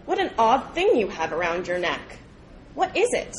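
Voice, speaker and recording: A woman's voice: medium pitch, huge pitch swings, normal speed, a little hiss or hum, pitched below the performer's own voice. A woman voicing a curious, indignant, free-spirited male wolf in a storybook fable.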